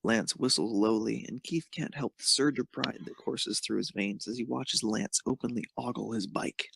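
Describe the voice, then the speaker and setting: A man's voice: medium pitch, normal speed, vocal fry, fairly bright tone, husky, dry recording. A man reading scripted narration of a romantic fan fiction story.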